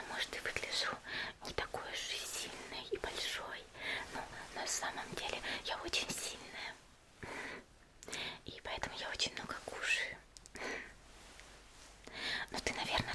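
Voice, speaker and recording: A woman playing a cheerful, caring young female warrior in an ASMR spa roleplay, whispering gently and chattily without hurry. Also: natural voice. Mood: cheerful, chatty. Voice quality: breathy unvoiced whisper. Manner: gentle, unhurried, chatty, soft hesitations.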